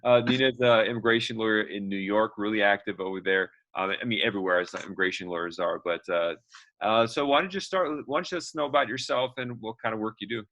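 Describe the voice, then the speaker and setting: A man's voice: fairly low pitch, steady tone, fast, fairly bright tone, muffled recording. A man hosting a casual interview, easygoing and chatty as he asks questions. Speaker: host, interviewer.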